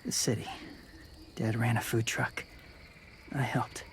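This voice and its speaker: Weary young male wanderer played by a man for game drama: gentle, guarded, clipped lines, very flat delivery, faint outdoor noise. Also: mid-range voice, slow, lightly acted. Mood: weary, subdued. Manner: terse, clipped fragments, subdued. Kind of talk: restrained video game drama acting.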